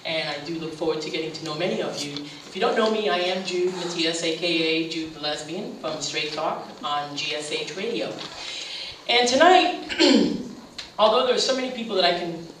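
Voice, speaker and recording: A woman who hosts a radio show gives an earnest, heartfelt speech at a community center, halting on fillers and then swelling with emphasis on key phrases. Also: fairly low pitch. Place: meeting room at a community center. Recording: very echoey room from a distant mic, faint hiss.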